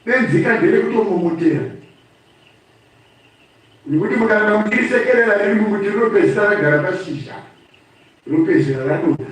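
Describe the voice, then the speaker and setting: A man's voice: sits high, expressive, quick pace, long pauses. A man with a Zimbabwean accent giving an impromptu political address, speaking emphatically like a storyteller and repeating phrases for effect. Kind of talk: impromptu political address, mixing two languages. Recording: very echoey room, distant microphone.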